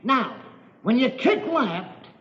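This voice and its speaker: A man's voice: extremely high pitch, sing-song, takes it slowly, fairly bright tone, heavily acted. An actor playing a fussy, bossy, sarcastic dance-hall proprietor drilling his dancers in a 1940s radio drama. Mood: exasperated. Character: exasperated, fussy dance-hall boss drilling chorus girls. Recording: muffled old radio, roomy echo, music.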